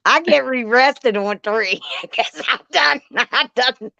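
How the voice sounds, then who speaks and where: A woman drawls slowly in a casual chat, chiming in and laughing. Her voice is gravelly with a bright edge. The sound is dry and dull, with a lo-fi feel. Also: sits high, dry recording. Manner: slow, drawling, chimes in, laughs often. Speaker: co-host.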